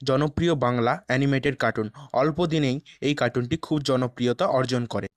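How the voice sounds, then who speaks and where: A man's voice: medium pitch, fairly quick, soft background music. A man reading scripted narration for an online video.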